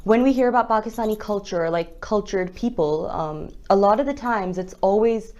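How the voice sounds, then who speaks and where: A woman's voice: mid-range voice, moderate pace, a little mellow. A woman hosting a conversational interview, talking in a wordy, circling way as she works toward her questions. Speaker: interview host.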